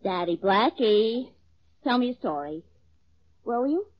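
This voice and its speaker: A woman's voice: on the high side, lively intonation, a little slow. An actress playing a woman who playfully poses as an eager, wide-eyed little girl in 1940s radio comedy. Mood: coy, eager. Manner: coy, mock-innocent, wide-eyed. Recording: tinny, phone-like old radio recording.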